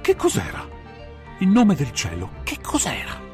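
A man's voice: a little high, wildly swooping pitch, moderate pace, soft background music, pitched above the performer's own voice. A man voicing a terrified, shaken baronet in a dramatized audiobook, in agitated exclamations and questions. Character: terrified, shaken young baronet rescued from a beast.

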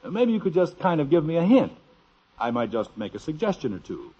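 Genial radio-sitcom father, husky, very lively pitch, old lo-fi broadcast. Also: fairly quick. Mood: playful. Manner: genial, warmly amused. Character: good-natured middle-aged father, curious and teasing. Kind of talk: 1950s radio sitcom acting.

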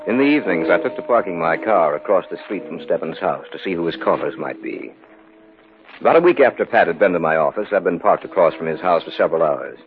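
An actor playing a sober, sceptical friend, measured and serious, narrating in 1950s radio drama style. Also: male voice, sits low, lively intonation. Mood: grave narration. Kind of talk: 1950s radio acting, scripted first-person narration. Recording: tinny old radio recording.

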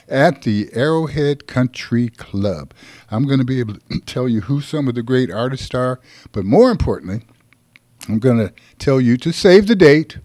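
A man's voice: medium pitch, darkish tone, no echo. A man hosting a Sunday talk show from a studio, rambling and folksy, teasing his guests. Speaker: radio show host. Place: radio studio. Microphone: studio microphone.

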